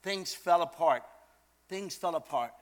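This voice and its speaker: An older man from Brooklyn, preaching in a storytelling style, animated and emphatic, repeating phrases for effect. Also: a little high, a little slow. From Brooklyn, New York, USA. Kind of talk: storytelling sermon.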